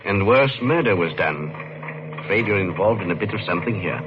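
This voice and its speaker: An actor playing a brisk, authoritative detective in an old radio mystery. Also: male voice, deep voice, expressive. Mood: stern. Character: stern, official Scotland Yard detective. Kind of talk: 1940s radio drama acting. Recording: dull vintage radio recording.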